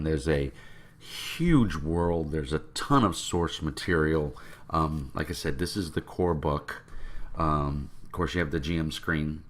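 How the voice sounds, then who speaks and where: A man with a deep, froggy voice from a cold, rambling casually to his viewers about tabletop games. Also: a bit bright. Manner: rambling, with many fillers. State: congested from a cold. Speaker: tabletop game vlogger. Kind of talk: casual rambling talk to camera.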